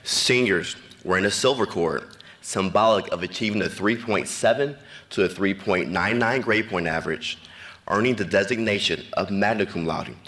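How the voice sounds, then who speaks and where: A man, a school official, reading formal ceremony announcements from a podium in a measured, scripted way. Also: lowish voice, sharp tone. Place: large ceremony venue.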